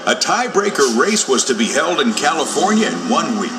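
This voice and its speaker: Male children's read-along narrator: expressive, a touch high, with loud music bed. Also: normal speed. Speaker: storybook narrator.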